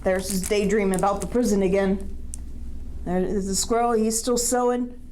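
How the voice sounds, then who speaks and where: A woman's voice: mid-range voice, steady tone, moderate pace, darkish tone, a little hiss or hum, rough recording. A woman reading a picture book aloud to young children, expressively, with questions and asides to her listeners.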